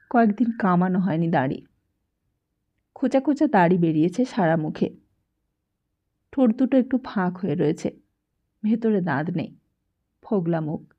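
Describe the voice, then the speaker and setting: A woman reading a literary story aloud as an audiobook narrator, with a dramatic delivery and expressive pauses. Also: fairly low pitch. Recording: dull, lo-fi sound.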